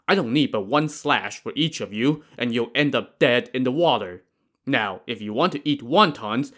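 A gruff river boatman, put on by a male storyteller, sneers and taunts with a loud, pushed-up voice that swoops from line to line. He sounds cocky and cruel, a smuggler sure he has the upper hand. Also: medium pitch, natural voice. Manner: mocking, threatening.

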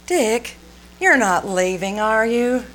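A woman's voice: on the high side, animated, slow, a bit bright. A woman playing a sweetly teasing, wily wife in an old-time radio comedy, coy and mock-innocent. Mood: coaxing. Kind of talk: 1940s radio comedy acting.

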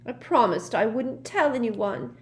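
A woman voicing the glum, easily wounded ghost of a teenage girl in an audiobook, sulky and plaintive with drawn-out words. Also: a little high, expressive, voice pushed up. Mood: defiant.

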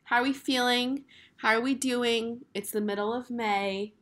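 A woman in her twenties hosting her own podcast, talking casually with lots of fillers while hoarse from a cold. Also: on the high side, fairly even intonation, measured pace, fairly bright tone. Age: 20s. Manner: chatty, filler-heavy, upbeat. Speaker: podcast host. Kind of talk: casual solo podcast chat.

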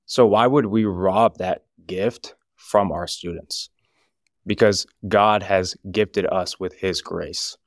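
A man on a podcast talking about youth ministry, joking at times and speaking earnestly and deliberately, reading scripture aloud now and then. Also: fairly low pitch, dry recording, studio quality.

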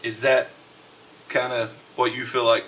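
A man's voice: mid-range voice, flat delivery, sharp tone, a little hiss or hum, poor audio. A man hosting a podcast, chatting casually with guests and using many fillers. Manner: friendly, halting with fillers. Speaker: podcast host. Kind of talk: casual interview chat.

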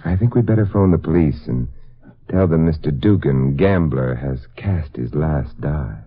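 An actor playing a suave, wry gentleman sleuth in a 1950s radio drama, urbane and drily witty. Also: a bass voice, animated, a little slow, exaggerated voice. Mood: coolly deducing. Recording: dull lo-fi vintage radio recording.

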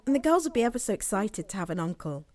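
An actress playing a blunt, protective, exasperated older sister with an English accent in a naturalistic audio drama. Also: female voice, mid-range voice, big pitch swings, fast talker, a little mellow, natural voice. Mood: resolute, bitter. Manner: emphatic, blunt, repeating phrases.

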